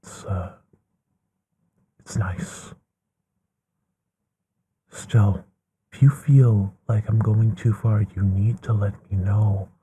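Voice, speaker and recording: Man acting as soft-spoken bookish vampire, very breathy half-whisper, dark mellow tone, hushed, musing, soothing ASMR roleplay. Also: fairly low pitch, fairly even intonation, heavily acted. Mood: tender, grateful. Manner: hushed, musing, unhurried with trailing pauses. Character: gentle, bookish, long-lived male vampire companion.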